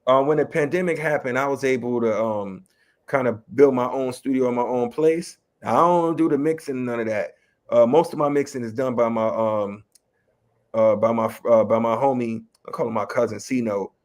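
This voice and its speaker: Laid-back chicago rapper talking. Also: male voice, mid-range voice, normal speed. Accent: Chicago West Side, slight New York. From West Side of Chicago. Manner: relaxed, streetwise, lots of "you know what I mean". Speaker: rapper and educator, guest. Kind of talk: casual interview talk.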